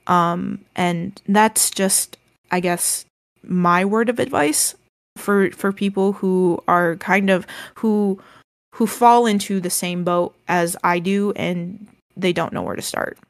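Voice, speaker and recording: A woman co-hosting a casual podcast, speaking gently and haltingly with many ums and restarts, her voice described as soothing. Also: lowish voice, fairly even intonation, creaky, no room sound. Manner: gentle, reassuring advice. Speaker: podcast co-host.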